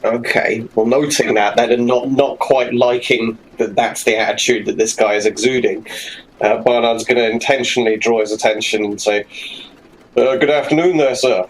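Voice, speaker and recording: A man plays a tabletop role-playing game. He talks casually and at length, thinking aloud at a middling pitch. Clear noise sits behind his voice. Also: level tone, moderate pace, rough recording. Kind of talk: casual improvised play description. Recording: clear background noise, room ambience.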